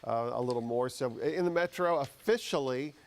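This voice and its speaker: A man's voice: medium pitch, brisk. An American man presenting a live TV weather forecast in a conversational, folksy way.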